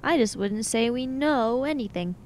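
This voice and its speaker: A woman's voice: on the high side, lively intonation, moderate pace, a little hiss or hum. A woman playing a tabletop role-playing game, talking casually in a matter-of-fact, argumentative way. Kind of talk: casual in-character game discussion.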